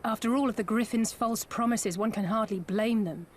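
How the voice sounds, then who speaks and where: An actress playing a composed, courteous young envoy in a video game, polite and articulate. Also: female voice, a little high, moderate pace, natural voice. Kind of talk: video game drama acting.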